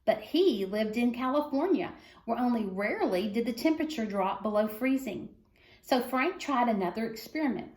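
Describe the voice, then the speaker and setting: Higher-voiced woman reading aloud, roomy sound. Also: expressive, normal speed, darkish tone. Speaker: reader of a children's book. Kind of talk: read-aloud storytelling for schoolchildren.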